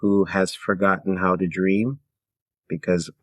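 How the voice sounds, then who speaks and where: A man with a very deep voice speaks as a guest in a relaxed interview. He comes from Canada and thinks aloud in short, halting phrases. The sound is very dry, with next to no room in it. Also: no echo. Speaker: guest, singer-songwriter and theater performer. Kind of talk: casual interview conversation.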